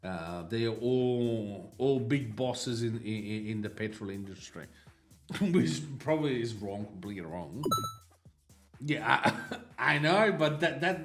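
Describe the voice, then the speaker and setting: A man from Italy live streaming, chatting casually with viewers in a rambling, stammering way with frequent laughs. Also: mid-range voice.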